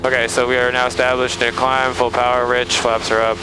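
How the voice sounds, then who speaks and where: A man's voice: mid-range voice, completely flat delivery, a little fast. A man flying a small plane in training, informally narrating each step aloud through a headset. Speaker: pilot being trained. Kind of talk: thinking aloud while flying. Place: small airplane cockpit in flight. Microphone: aviation headset. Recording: loud cockpit engine noise, aviation headset intercom.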